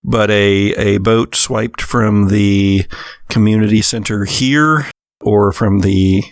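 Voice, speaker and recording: Low, creaky-voiced man running a game. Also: steady tone, slow pace, no echo, clean studio sound. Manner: conversational, descriptive scene-setting. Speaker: game master. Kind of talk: improvised narration of a tabletop horror game.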